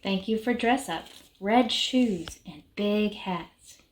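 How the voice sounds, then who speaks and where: Unhurried woman reading a children's book. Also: medium pitch. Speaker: reader of a children's story. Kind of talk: picture-book read-aloud. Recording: background noise with clicks.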